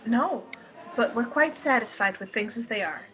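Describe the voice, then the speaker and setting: A woman's voice: on the high side, lively intonation, moderate pace, a bit bright, faint music behind, rough recording. A woman playing a modest, guarded wife of a cinema owner in a radio play, polite and understated.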